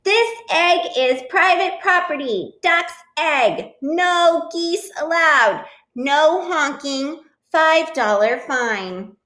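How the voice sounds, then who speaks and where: A woman's voice: high-pitched, lively intonation, extremely slow, fairly bright tone, roomy sound, pitched above the performer's own voice, full character voice. A woman voicing a huffy, bossy male duck from a storybook, indignant and emphatic. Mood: proud, possessive. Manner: exclamatory, scolding, emphatic.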